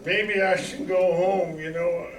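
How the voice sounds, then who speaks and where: A man's voice: high voice, fairly even intonation, slow. An older man playing Uncle Billy, a merry, muddled old uncle in an old-time radio drama. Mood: tipsy, elated. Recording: echoey room, distant mic, crowd noise.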